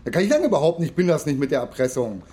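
A man's voice: a little high, very animated, rapid-fire, mellow. An actor playing a hapless, nervous, whiny young man out of his depth in a radio crime comedy. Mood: panicky. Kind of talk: naturalistic German radio crime comedy acting.

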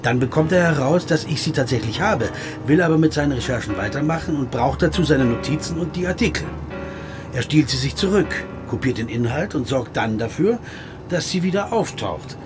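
A wry, restless jazz pianist, played by a man who narrates his own story in a dry, understated tone. His voice sits at a middle pitch. Music plays clearly underneath. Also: lively intonation, fairly quick, close to an everyday voice. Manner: thoughtful first-person narration, dry and understated. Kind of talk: audio drama narration and dialogue.

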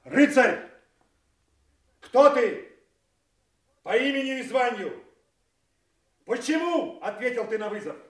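A stern nobleman of classical tragedy, declaiming in a high-pitched voice. He speaks with authority and accusing indignation, and the roomy echo of an audio drama surrounds his words. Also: sing-song, takes it slowly, long pauses, a bit bright, exaggerated voice. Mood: commanding.

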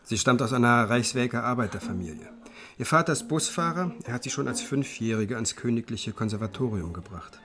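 An actor playing an observant, cultured musicologist who speaks in a measured, literary way in a radio drama. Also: male voice, medium pitch, expressive, crowd noise, lightly acted. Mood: matter-of-fact. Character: observant, cultured male musicologist narrator, precise and reflective. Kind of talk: radio drama narration and dialogue. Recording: music behind the voice.

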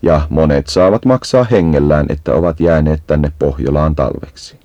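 A man with an extremely low, bass voice narrates an educational nature guide. His tone is dark and mellow, and he explains things in a measured, instructive way. The sound is close and dry, with very little room on it. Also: dry recording, lo-fi sound.

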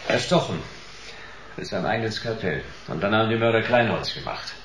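An actor playing a hard-boiled private detective in a radio play, wry and unruffled, with a laconic, sardonic delivery. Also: male voice, on the low side, expressive, fairly quick, a bit bright, a little hiss or hum. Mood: wry noir narration. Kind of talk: radio play dialogue and noir first-person narration. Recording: radio play with music underneath.

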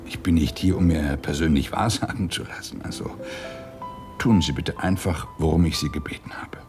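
An actor playing a troubled middle-aged married police detective in a German radio drama, gruff but candid. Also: male voice, very deep voice, moderate pace, over music. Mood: curt, impatient.

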